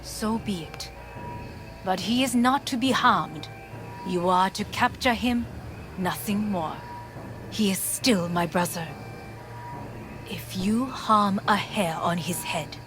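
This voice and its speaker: A woman voicing a composed, commanding corporate heiress in a video game, with a formal, measured manner. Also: mid-range voice, over music, lightly acted. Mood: solemn, commanding.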